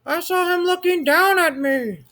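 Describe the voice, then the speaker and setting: A man voicing a small, fearful monster child who is scared of boys, in a high, drawn-out voice. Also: animated, pushed and bright, slight background noise, exaggerated voice. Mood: panicked.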